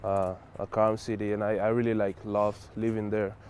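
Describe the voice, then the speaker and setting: A man's voice: on the low side, moderate pace, slight background noise. A young man from Spain, a college basketball player, answering interview questions casually and filling his talk with "like" and "whatever". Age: young adult. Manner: relaxed, many fillers like "like" and "whatever".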